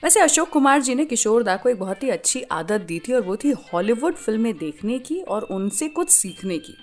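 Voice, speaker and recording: A woman's voice: a little high, big pitch swings, normal speed, faint music behind. A woman with an Indian accent hosting a radio show, telling stories in a scripted but conversational way, putting questions to listeners and mixing in English phrases.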